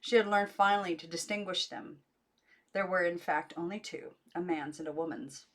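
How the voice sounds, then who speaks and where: Female amateur audiobook narrator, lower-pitched, scripted children's fiction read aloud. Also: moderate pace.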